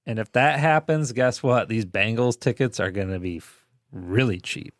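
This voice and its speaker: A man's voice: medium pitch, moderate pace, no room sound, studio quality. A man co-hosting a podcast, chatting casually about sports in short, loose, conversational phrases. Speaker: podcast co-host.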